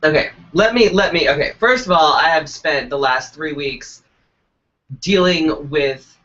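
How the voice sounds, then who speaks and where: A stuffed-up, congested man co-hosting a casual live web show, telling a story in a profane, animated way. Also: fairly high pitch, unhurried. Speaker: co-host. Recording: dull lo-fi web stream.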